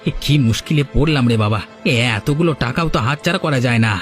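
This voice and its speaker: A shifty, wheedling village rogue from a cartoon , played by a man. His voice sits at a medium pitch, with light music underneath. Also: a little fast, lightly acted. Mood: nervous. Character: shifty, nervous village chicken thief and hawker. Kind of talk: Bengali cartoon voice acting.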